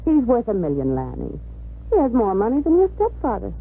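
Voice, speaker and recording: An arch society mother, animated delivery. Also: on the high side, phone-quality sound, faint music behind. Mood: reassuring, insistent. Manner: arch, brisk, theatrical. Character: worldly, self-satisfied middle-aged society mother. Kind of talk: 1950s radio drama acting with narration. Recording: thin 1950s radio recording.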